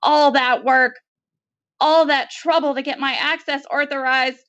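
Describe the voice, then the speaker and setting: A woman reader performs a male alien insect from a science fiction story. The voice is pitched up, panicky and wailing. He complains with bitter sarcasm and resentment. The sound is a little dull. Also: fairly even intonation, a little slow, a bit bright. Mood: distressed, accusing.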